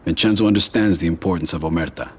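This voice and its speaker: An actor playing a calm, cold mafia boss in a game cutscene, speaking in a measured, controlled way. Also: male voice. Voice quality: low, quiet and husky. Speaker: crime family head. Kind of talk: video game cutscene acting.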